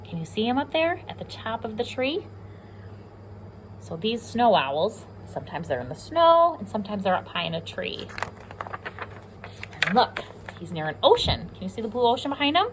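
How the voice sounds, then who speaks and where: A woman's voice: fairly high pitch, sing-song, normal speed, long pauses, slight background noise, rough recording. A woman with an American accent reading a picture book aloud to young children, explaining simply and asking them questions as a pre-K teacher.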